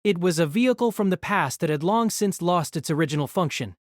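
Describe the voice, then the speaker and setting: A man narrating an audio drama in scripted storytelling style, expressive and engaged. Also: brisk, dry recording, studio quality. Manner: expressive storytelling with lively pitch.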